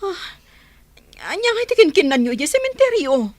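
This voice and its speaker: A woman acts out a concerned grown daughter in an audio drama. The voice is high, with big pitch swings, excitable and full of questions, recorded dry. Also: fairly quick, no echo. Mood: curious, concerned. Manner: exclaiming, inquisitive.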